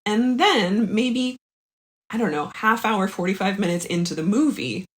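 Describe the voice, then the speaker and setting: A woman's voice: medium pitch, a little slow. A woman talking as a podcast guest in casual conversation, speaking informally with plenty of likes and the odd swear word. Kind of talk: casual chat.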